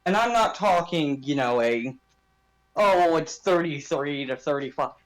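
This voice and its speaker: A man with a notably high voice hosts a casual sports podcast. He talks informally and puts emphasis on his points, as in a chat between friends. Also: expressive, unhurried, poor audio.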